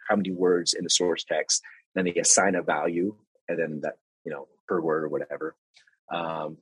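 Guest spot: low, husky-voiced man, patient explainer walking through his job, dry close mic. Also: a bit bright, no room sound, rough recording. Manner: explains step by step with examples, many restarts. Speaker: guest, translator and interpreter. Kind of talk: casual interview talk.

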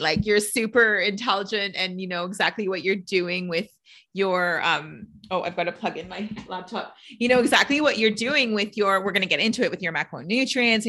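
A woman's voice: medium pitch, pushed and bright. A woman hosting a podcast, chatting casually with a guest, laughing often and filling her talk with "like". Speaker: podcast host, trainer.

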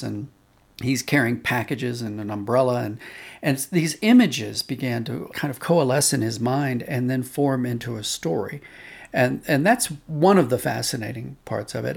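A man explaining thoughtfully as a guest in conversation. He has a medium-pitched, noticeably gravelly voice and sets out his points reflectively, one step at a time. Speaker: podcast guest, audio drama writer and director.

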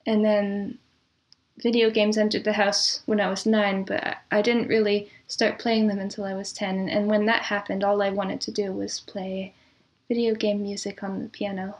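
A woman speaking as an interview guest in casual, reminiscing conversation, with a thoughtful, measured way of talking. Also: mid-range voice, flat delivery. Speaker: interview guest, composer and audio drama producer.

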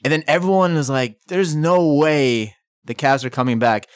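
Fired-up man, higher voice. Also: normal speed, no room sound, studio quality. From New York. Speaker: podcast guest. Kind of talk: casual sports chat.

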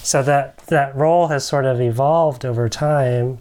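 Very low-voiced woman asking questions. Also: a little slow, a little mellow. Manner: brief backchannels and clarifying questions. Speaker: podcast co-host. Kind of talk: interview questions.

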